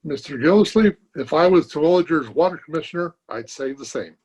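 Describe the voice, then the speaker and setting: A man's voice: on the high side, animated, a little fast, a little mellow, slightly rough, rough recording. A man playing a folksy, wisecracking, stubborn barber in an old-time radio comedy, recorded at home over a video call. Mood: sly. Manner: folksy, colloquial, chuckling drollery.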